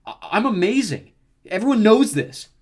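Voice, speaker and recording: A man's voice: high-pitched, very animated, moderate pace, darkish tone, breathy edge, voice pushed up, full character voice. A man voicing a vain young man of myth in an audiobook, self-important and affronted. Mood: indignant. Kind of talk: audiobook character voice.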